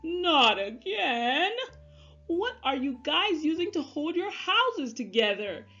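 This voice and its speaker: A woman's voice: sits high, big pitch swings, slow pace, a bit bright, highs cut off, soft background music, pitched above the performer's own voice, full character voice. A woman voicing a polite, well-meaning, misunderstood male wolf in a children's storybook. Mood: exasperated. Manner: courteous, wistful, exclaiming when baffled.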